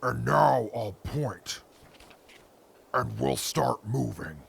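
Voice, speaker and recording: A man's voice: medium pitch, lively intonation, drawn-out words, heavily acted. A man playing a stern, wary scout in a post-apocalyptic audio drama, with clipped, formal and cautious phrasing. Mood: wary, grave. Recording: clear background ambient noise.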